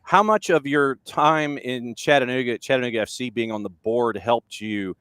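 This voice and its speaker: A man's voice: mid-range voice, moderate pace, a bit bright, smooth, dry recording, clean studio sound. A man hosting a talk show, asking long, rambling questions in a casual way. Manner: rambling, conversational questions with many restarts. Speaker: radio show host. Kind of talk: casual interview.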